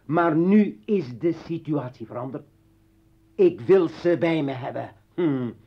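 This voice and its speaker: A man's voice: on the high side, lively intonation, slow pace. An actor playing a bitter, armed revolutionary poet and father in a radio play, taunting and commanding. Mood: firm, insistent. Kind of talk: Dutch radio play acting. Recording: dull old radio recording.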